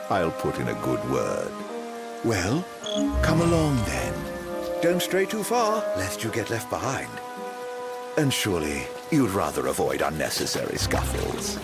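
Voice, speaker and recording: A middle-aged video game villain with a low, extremely animated voice. He is sly and teasing, drawing out flowery courtesies theatrically. Music is loud behind him. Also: a little slow, pauses often, exaggerated voice. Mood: smug, mock-friendly. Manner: flowery, drawling, teasing politeness.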